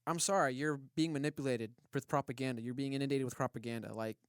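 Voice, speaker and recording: A man's voice: medium pitch, fairly even intonation, fast, clean tone, no room sound, studio quality. A man co-hosting a casual podcast, speaking in an opinionated, emphatic and challenging way. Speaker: podcast co-host.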